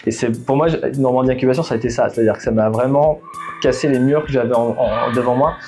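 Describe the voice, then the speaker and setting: A man's voice: mid-range voice, fairly even intonation, fast talker, darkish tone, faint music behind. A middle-aged man from Bordeaux, a startup founder answering interview questions, hesitant, with many fillers and restarts. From Bordeaux, France.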